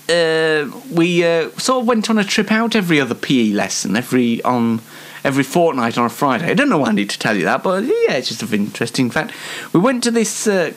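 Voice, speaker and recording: Young British man, animated rambling chat. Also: mid-range voice. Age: young adult. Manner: chatty, rambling, self-deprecating. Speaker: host of a personal show. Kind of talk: casual anecdotal monologue.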